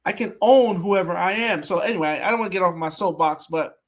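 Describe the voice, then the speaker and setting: Male podcast host, high and lively, tells stories with emphatic repeats. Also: a little fast. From Brooklyn, New York. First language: Haitian Creole. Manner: animated storytelling, emphatic repeats. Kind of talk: conversational interview.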